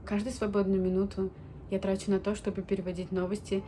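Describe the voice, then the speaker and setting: A woman from Belarus quietly describing her volunteer work translating news. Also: mid-range voice, level tone, fast talker. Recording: noisy background.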